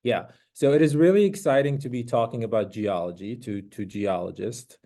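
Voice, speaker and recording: A man from Iraq, giving an academic seminar talk over a video call in an earnest, conversational way, pausing to search for words. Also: mid-range voice, dark tone. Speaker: guest speaker.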